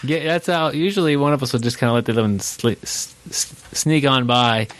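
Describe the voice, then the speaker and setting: A man's voice: mid-range voice, a little fast, fairly bright tone. Nasal-sounding man hosting a podcast. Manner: easygoing, laughing, with filler words. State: nasal from allergies. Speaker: podcast host. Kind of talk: casual podcast chat.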